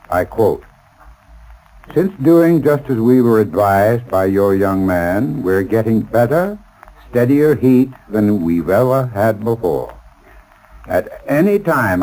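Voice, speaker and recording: A man's voice: medium pitch, mellow, rough recording. An American man giving a scripted 1930s radio advertisement as a sponsor's expert spokesman.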